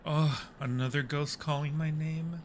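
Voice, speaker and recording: A man's voice: a little high, fairly even intonation, a little slow, slight background noise. A man hosting a casual live game stream, chatting playfully with viewers and muttering to himself in a jumpy way as he plays.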